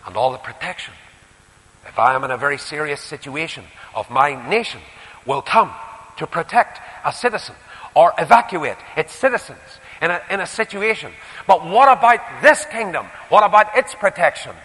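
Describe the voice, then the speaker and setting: A man with a Northern Irish accent preaching a sermon, putting rhetorical questions and moving between emphatic rises and quieter asides. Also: on the high side, lively intonation, moderate pace. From Northern Ireland. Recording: echoey hall with long reverb.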